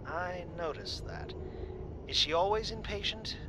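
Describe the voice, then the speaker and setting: A performer voicing a calm, patient pilot and mentor in an audio drama, giving clipped, encouraging replies. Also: medium pitch, measured pace, background noise.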